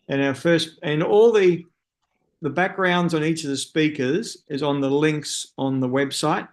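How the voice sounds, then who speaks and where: Australian man hosting a webinar. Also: mid-range voice. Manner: friendly, with frequent "uh" fillers and restarts. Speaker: webinar host. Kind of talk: hosting an online symposium. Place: speaking from a room in a city at night. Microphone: video call.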